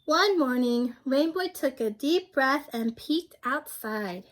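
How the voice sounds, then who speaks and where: High-pitched young woman, slow read-aloud. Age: young adult. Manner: simple, expressive, prompting listeners with questions. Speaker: intern reading aloud to children. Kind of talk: children's storybook read-aloud with questions to young listeners.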